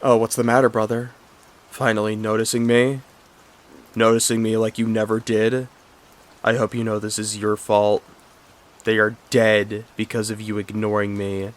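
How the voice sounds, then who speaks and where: A man voicing a bitter fish villain who taunts with cold spite. He drawls in a low, deep voice. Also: level tone, moderate pace, natural voice. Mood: taunting. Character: spiteful male fish villain, the neglected brother.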